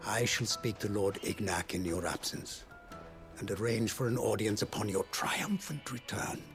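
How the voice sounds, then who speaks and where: A man's voice: fairly low pitch, normal speed, over music, natural voice. A man playing a deferential, patient manservant in a video game, speaking with formal, obliging eloquence. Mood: courteous, obliging. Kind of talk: video game cutscene acting.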